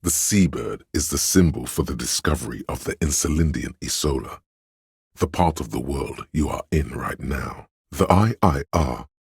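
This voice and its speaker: A man playing a pedantic, erudite inner voice of encyclopedic knowledge in a video game, narrating facts in a dry, matter-of-fact deadpan. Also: extremely low pitch, moderate pace, vocal fry, breathy edge, no echo, full character voice. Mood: dry, informative.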